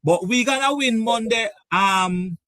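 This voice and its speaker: A man's voice: sits high, unhurried, bright, forceful tone, no room sound, clean studio sound. A man hosting a casual cricket chat show, teasing his guests and laughing often. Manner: laughing, teasing, repeats words. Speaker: show host.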